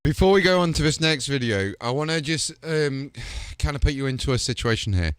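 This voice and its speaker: A man's voice: medium pitch, animated, fairly quick, clear, resonant tone, no echo, soft background music. A man hosting a product showcase, hyped and rambling, with filler words. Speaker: host.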